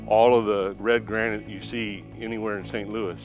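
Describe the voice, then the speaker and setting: A man's voice: on the low side, level tone, normal speed. A man speaking as a park guide, explaining things informally. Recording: music behind on-site interview speech.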